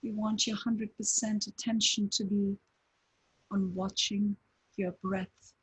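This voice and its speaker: A woman guiding a breathing meditation, speaking calmly with long pauses between short phrases. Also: mid-range voice, slightly rough, low-quality recording. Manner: slow, calm, with long pauses. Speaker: meditation teacher.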